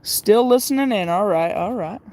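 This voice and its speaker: A man's voice: sits high, expressive, normal speed, darkish tone. A man hosting a casual livestream, thinking aloud with many fillers as he tunes a radio. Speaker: livestream host tuning a shortwave radio. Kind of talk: casual live commentary.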